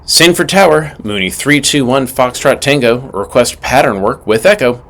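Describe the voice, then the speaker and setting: A man's voice: mid-range voice, lively intonation, normal speed, fairly bright tone. A man giving scripted instructional narration as an aviation instructor, methodical and emphatic in his delivery. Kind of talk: scripted instructional narration with acted radio call examples.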